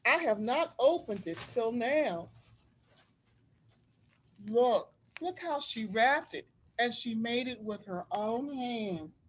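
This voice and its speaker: A woman reading a storybook aloud to children, explaining things simply and deliberately like a school librarian. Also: a little high, lively intonation, slight background noise, poor audio. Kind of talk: read-aloud storytelling with teacherly asides.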